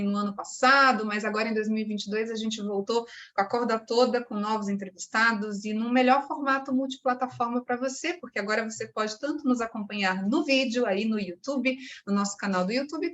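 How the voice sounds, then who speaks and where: A woman with a Brazilian Portuguese accent hosting a podcast, chatty and enthusiastic. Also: a little high. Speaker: podcast host.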